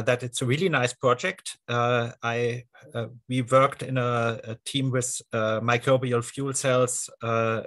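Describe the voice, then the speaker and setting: A man's voice: medium pitch, fairly bright tone. Hesitant German-accented man on a panel. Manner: hesitant, halting. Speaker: competition judge. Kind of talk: unscripted panel questioning. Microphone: video call.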